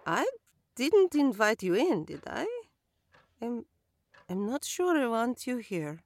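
An actress playing an eccentric elderly widow who rambles and jokes but hides something sinister, in an audio drama. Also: female voice, fairly high pitch, very animated, slow, darkish tone, dry recording, exaggerated voice. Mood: wary. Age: older. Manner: doddering, rambling, bawdy, turns menacing.

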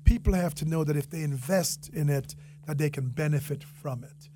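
A man with a Jamaican accent and a fairly high-set voice answers questions as an interview guest. He is earnest and conversational, restating phrases to stress them. A faint hum and noise sit under the recording. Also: normal speed, mellow.

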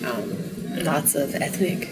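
Low-voiced Midwestern woman, matter-of-fact. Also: takes it slowly, far from the mic, poor audio. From Wisconsin, US Midwest. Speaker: guest, new mother. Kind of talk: casual interview chat.

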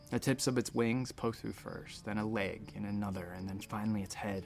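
An actor playing a flustered space doctor in an audio drama, recounting his ordeal in hesitant, conversational asides with a creaky voice. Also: on the low side. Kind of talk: audio drama dialogue and first-person narration.

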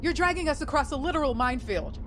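A woman's voice: high voice, expressive, fast. A woman playing a tense, sardonic, bossy fugitive in an audio drama. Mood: alarmed. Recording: music and vehicle noise behind.

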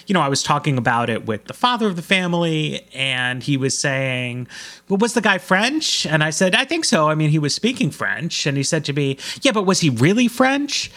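An American man talks as a guest author in a podcast interview. His pitch moves in a very animated way as he explains at length, emphatic and conversational, often checking in with the listener. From United States.

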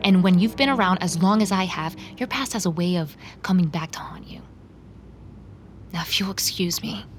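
A woman's voice: lowish voice, moderate pace, lightly acted. An actress playing a guarded, earnest woman, the pleading conscience of a dangerous pair, in a naturalistic audio drama. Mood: hushed, firm. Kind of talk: audio fiction drama acting. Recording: music underneath.

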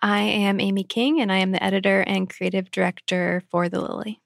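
A woman's voice: medium pitch, little pitch movement, a little fast, creaky, no echo, studio quality. A woman who works as an editor and creative director, describing interviews in a matter-of-fact way. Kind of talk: podcast interview narration.

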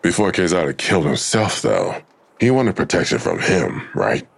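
Very deep, gravelly male detective. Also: very animated, normal speed, exaggerated voice. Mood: probing. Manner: terse, brooding, questioning. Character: terse, probing male police detective. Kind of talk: audio drama acting.

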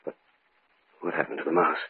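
A man playing an earnest, uneasy scientist in an old-time radio horror drama, speaking gravely and deliberately. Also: lightly acted. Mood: hushed, alarmed. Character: earnest uneasy male scientist recounting events in a journal. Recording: muffled, very noisy old radio recording.

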